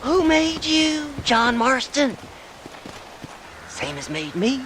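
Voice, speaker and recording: An actor playing a crazed, mocking Old West grave robber with a Southern American drawl in a video game cutscene. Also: male voice, extremely high pitch, very animated, takes it slowly, fairly bright tone, slight background noise, heavily acted. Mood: mocking, manic. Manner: unhinged, mocking, wildly swinging, crooning.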